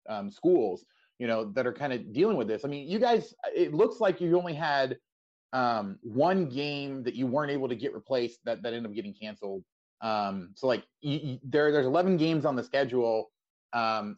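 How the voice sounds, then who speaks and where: Slightly muffled, lo-fi audio carries an American man hosting a sports podcast. His mid-pitched voice moves through questions conversationally, with halting, roundabout phrasing. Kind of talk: conversational interview.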